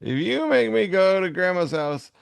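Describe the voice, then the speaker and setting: A man's voice: high voice, very animated, normal speed, gravelly, clean tone, dry recording, voice pushed up, exaggerated voice. A man voicing a stubborn, bratty young child arguing with parents in a comic dramatic reading. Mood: petulant.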